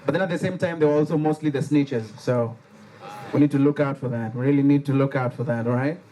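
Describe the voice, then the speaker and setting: A man with a Liberian accent leading a lively workshop, joking and getting the audience to repeat key words after him. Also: mid-range voice, fast, a little hiss or hum, poor audio. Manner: animated, playful, has the audience repeat words. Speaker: workshop facilitator. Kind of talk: interactive call-and-response workshop. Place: workshop room with a live audience.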